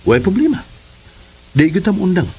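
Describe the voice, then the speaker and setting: Casting: playful, loving male spouse, radio serial style, very animated, ordinary male register, slight background noise. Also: sits high, normal speed, creaky, heavily acted, low-quality recording. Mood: playful, amorous. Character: affectionate, playful young husband and father. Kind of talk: Philippine radio drama acting.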